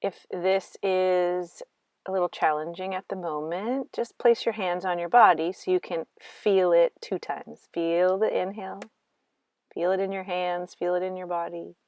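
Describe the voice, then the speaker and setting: Female meditation guide, lower-register voice, gentle and unhurried delivery with long pauses, close dry recording. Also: steady tone, no echo.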